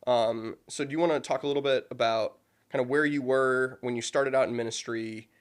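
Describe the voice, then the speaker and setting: A man's voice: medium pitch, steady tone, brisk. A young man hosting a church podcast as youth pastor, chatting casually and joking with his guest.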